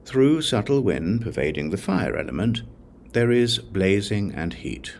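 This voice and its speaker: A man narrating a religious text as an audiobook, reading in a measured, scripted way. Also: fairly low pitch, sing-song, a little mellow, a little hiss or hum.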